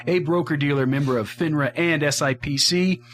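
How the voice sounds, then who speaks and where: A man's voice: a little high, a little fast, a bit bright. A man hosting a financial radio show, reading scripted announcements and promos. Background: guitar music.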